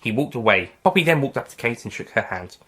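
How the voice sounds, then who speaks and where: A man narrating a fan-written story aloud in a plain, steady reading voice. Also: medium pitch, fast talker, husky. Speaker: narrator and writer.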